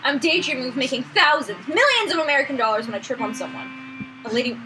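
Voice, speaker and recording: A woman's voice: sits high, animated, fast, over loud music. A woman reading game text aloud for a playthrough, with slangy, chatty asides and exclamations. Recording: noisy backup mic, mic failed to connect.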